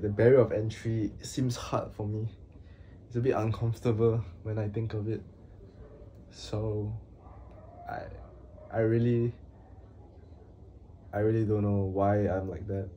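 A young man talking to his camera in a small room, with a halting, hesitant manner, flat monotone delivery, and many pauses and fillers. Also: lowish voice, slight background noise. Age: young adult. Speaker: vlogger. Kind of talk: casual talk to camera.

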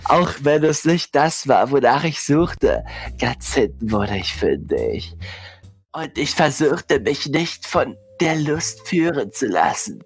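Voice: medium pitch, sing-song, slow, slightly rough. A giggly, hesitant live-stream host bantering casually with others. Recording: music behind the voice. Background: music.

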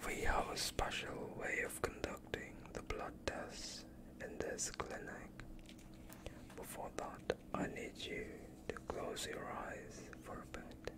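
A young man playing a sinister, soothing vampire doctor in a whispered ASMR roleplay, with a breathy, hushed, reassuring delivery close to the listener. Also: slow, lightly acted. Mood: calm, reassuring. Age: young adult. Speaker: ASMR performer.

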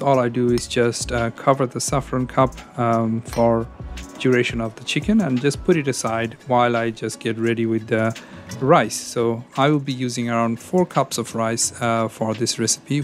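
Man, cooking narration, hesitant, lots of fillers, mid pitch, faint background music. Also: fairly even intonation, normal speed. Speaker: cooking channel host. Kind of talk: casual cooking voice-over.

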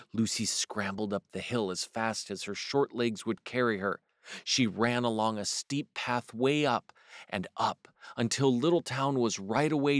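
A man reading a children's picture book aloud with expressive, varied storybook delivery. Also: mid-range voice, no room sound, clean studio sound.

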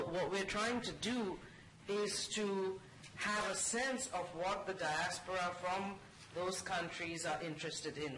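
Articulate woman panelist in a roomy hall. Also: lowish voice. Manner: articulate, measured, says she has a loud voice. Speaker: panelist from an international organization. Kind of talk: conference panel answer. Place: conference room, seated on stage. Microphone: handheld microphone. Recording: roomy hall with background noise.

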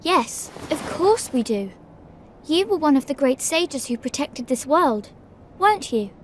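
A woman's voice: high voice, sing-song, measured pace, slight background noise. A woman voicing an earnest, plucky young hero in a fantasy game, eager in manner.

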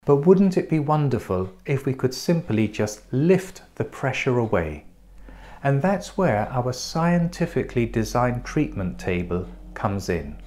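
A man in his forties presenting a clinic's treatment in a measured, explanatory scripted voice, recorded on a lapel mic in a bright treatment room. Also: medium pitch. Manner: measured, explanatory, pointing things out.

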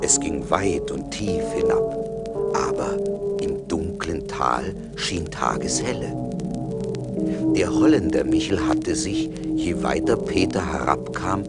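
A deep-voiced man narrates a fairy tale in a radio play. He is measured and dramatic, with widely moving intonation, over loud music. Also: sing-song.

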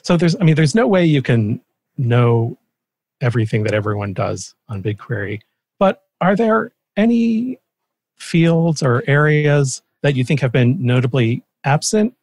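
A man's voice: medium pitch, animated, unhurried, a little mellow, dry recording. A man interviewing casually as a podcast host, thinking aloud conversationally.

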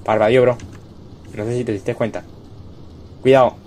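A young man from Venezuela streaming live gameplay commentary, excitable and quick to repeat himself and yell when startled. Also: mid-range voice, slight background noise. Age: young adult. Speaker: gaming streamer. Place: home room, indoors.

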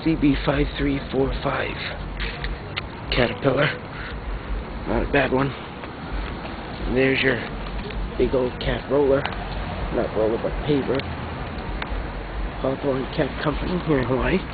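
A man's voice: mid-range voice, steady tone, unhurried, long pauses. A man outdoors at a road work site, casually naming the construction machines one by one as he films them. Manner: plain, listing-off commentary. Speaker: amateur videographer. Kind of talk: casual narration of construction equipment. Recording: lo-fi camcorder audio, loud machinery noise outdoors.

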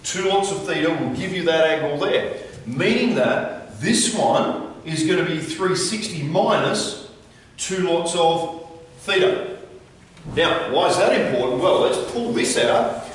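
A man's voice: on the high side, animated, a little slow, a little hiss or hum. A man explains a maths problem step by step as a teacher, informally, often checking in with short tag questions. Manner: informal, checking in with "right?" and "okay?". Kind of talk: explaining a worked problem at a whiteboard. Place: indoor classroom with whiteboard. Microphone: camera a few metres away. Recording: very echoey room, distant mic.

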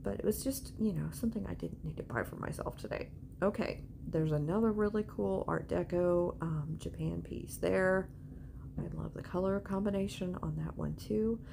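A woman's voice: on the low side, moderate pace. A woman giving a casual, chatty shopping vlog voice-over in an informal way. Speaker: vlogger and antiques reseller. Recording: clear background noise.

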